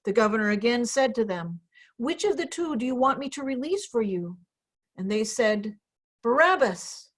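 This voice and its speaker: A woman's voice: on the high side, animated, moderate pace. A woman pastor giving a dramatic reading of scripture over a video call. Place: indoor room, on a video call.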